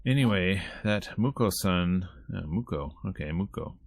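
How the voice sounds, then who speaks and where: A man's voice: sits low, lively intonation, normal speed, no room sound, clean studio sound. A man streaming a visual novel, reading its text aloud and breaking off into casual, wry asides. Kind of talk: reading game dialogue aloud with casual commentary.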